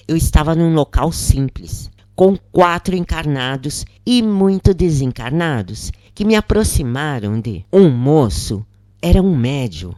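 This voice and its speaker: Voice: medium pitch, a little mellow, no room sound, studio quality. A narrator reading a scripted first-person story aloud.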